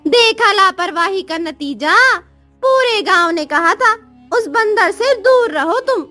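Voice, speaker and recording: Woman putting on a very high, scornful villager. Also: lively intonation, slow pace, bright, forceful tone, faint music behind, exaggerated voice. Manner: mocking, scolding. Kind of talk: cartoon moral story voice acting.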